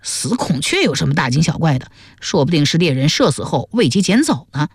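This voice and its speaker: A woman's voice: low-pitched, big pitch swings, brisk, husky, no echo, clean studio sound, exaggerated voice. A woman voicing a brash, curious young man in a historical audiobook, blunt and questioning. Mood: dismissive. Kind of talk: audiobook character voice.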